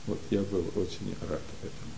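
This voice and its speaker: An older man from Ukraine, telling stories as a guest in an interview, unhurried and full of pauses, recorded in a library studio. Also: sits low, level tone, vocal fry, background noise. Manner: unhurried, many pauses and hesitations. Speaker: guest, community leader. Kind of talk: relaxed storytelling in an interview.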